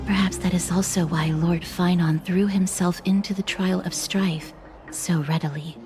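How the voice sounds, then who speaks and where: A woman playing a gentle, dutiful companion in a fantasy game, speaking softly and formally. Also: fairly low pitch, steady tone, measured pace, natural voice. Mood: concerned. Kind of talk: dramatic game dialogue. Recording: background music behind the voice.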